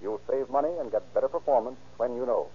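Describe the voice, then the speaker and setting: A man's voice: mid-range voice, fast, slightly rough. An American man narrating and announcing in a formal, declamatory 1930s radio style. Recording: tinny, narrow 1930s radio recording.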